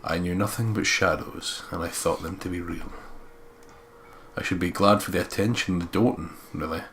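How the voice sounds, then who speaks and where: A man with a fairly low voice hosts a let's play. He reads story narration aloud, drops in joking commentary, and has a faint layer of noise behind him. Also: fairly even intonation, normal speed, fairly bright tone. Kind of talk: reading a visual novel aloud with joking asides.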